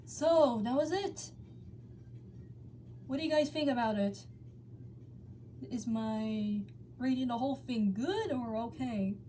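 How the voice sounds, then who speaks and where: A woman's voice: fairly high pitch, takes it slowly, long pauses, mellow, slight background noise. A woman hosting a dramatic fan fiction reading, theatrical but casual, sometimes stumbling over words. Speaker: story reader, channel host.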